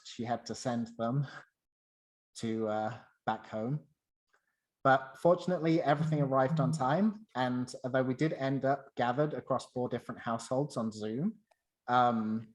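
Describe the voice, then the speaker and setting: A man's voice: mid-range voice, poor audio. A man from the UK with a British accent, presenting a semi-scripted personal anecdote.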